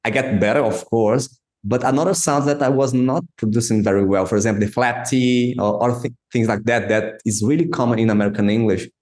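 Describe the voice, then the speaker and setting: A man's voice: mid-range voice, fairly even intonation, brisk, few pauses, a little mellow. Man host, echoey room. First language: Portuguese. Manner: hesitant, many fillers and restarts. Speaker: podcast host. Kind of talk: interview questions. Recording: roomy echo.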